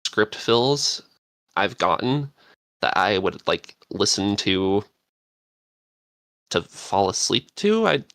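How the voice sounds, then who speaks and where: A man's voice: on the low side, lively intonation, takes it slowly, long pauses, fairly bright tone, no echo. A man chatting casually as a panelist, rambling and searching for words. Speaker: podcast panelist and scriptwriter. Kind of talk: casual chat.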